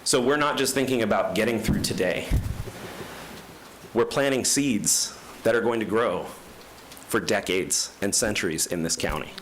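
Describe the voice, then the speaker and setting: Lower-voiced guy at a county meeting mic, earnest, speeds up sometimes, faint hum. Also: moderate pace. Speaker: resident speaking at public comment. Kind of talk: prepared public comment. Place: county commission chamber.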